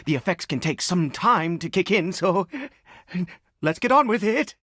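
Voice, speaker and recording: A man's voice: high-pitched, animated, measured pace, sharp tone, slight background noise, exaggerated voice. A man voicing a weary, anxious, self-doubting ageing father in a fantasy video game, speaking hesitantly with stammers. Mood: hesitant, businesslike. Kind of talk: dramatic video game voice acting. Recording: background music under the voice.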